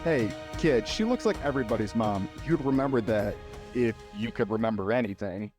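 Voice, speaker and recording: A man's voice: mid-range voice, expressive, brisk, music in the background, lightly acted. A man playing a streetwise, sarcastic talking dog in a cartoon-style audio play, brash and wisecracking. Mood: impatient.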